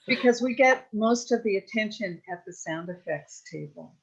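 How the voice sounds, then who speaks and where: A woman's voice: medium pitch, animated, normal speed, breathy edge. A woman in a casual panel Q&A, explaining as a sound effects performer and listing details as she goes. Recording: slightly dull, lo-fi audio.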